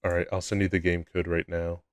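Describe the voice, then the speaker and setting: A young man with a low voice, chatting casually with his live-stream chat in a laid-back, rambling way at a desk mic at home. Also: flat delivery, a little fast, clean tone, dry recording, studio quality.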